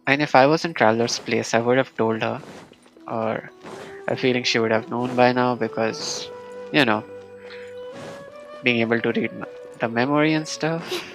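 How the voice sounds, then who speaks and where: A man giving casual, low-key live commentary as he streams a game, muttering brief reactions. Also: moderate pace, long pauses, rough recording. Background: game music.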